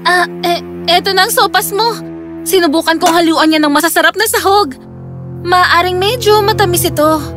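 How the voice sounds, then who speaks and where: A sweet young princess in a children's cartoon fairy tale. Her voice is extremely high and girlish, hesitant and wistful, over prominent music. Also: normal speed. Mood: timid, anxious. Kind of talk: animated children's story acting.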